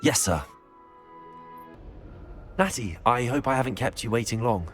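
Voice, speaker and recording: A man's voice: medium pitch, lively intonation, unhurried, fairly bright tone. Earnest teen boy student for a fantasy game, respectful and keen, varied melody, music behind. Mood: polite, earnest. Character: polite, keen teenage male magic student. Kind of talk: video game character acting.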